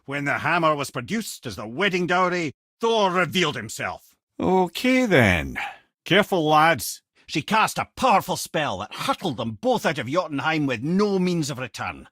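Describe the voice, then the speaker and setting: An actor playing a witty, learned old storyteller and guide in a video game, with a Scottish accent and a wry, erudite, theatrical way of talking. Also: male voice, fairly high pitch, fairly bright tone, dry recording, studio quality. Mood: solemn storytelling, then counselling, wry. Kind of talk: video game character voice lines, storytelling and banter.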